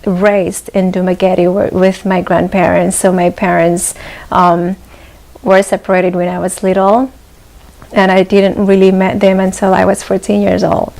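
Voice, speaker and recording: A woman, speaking at a middle pitch with a gravelly edge. She tells her story haltingly, with frequent ums and restarts, in a relaxed interview conversation. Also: low-quality recording. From Philippines. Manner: hesitant, many ums and restarts. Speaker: guest.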